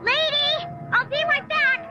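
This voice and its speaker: An actress playing an earnest, excitable ten-year-old American girl telling her story in a radio drama. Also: very high voice, measured pace, muffled recording, faint music behind, exaggerated voice. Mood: alarmed. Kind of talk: dramatized first-person radio drama narration.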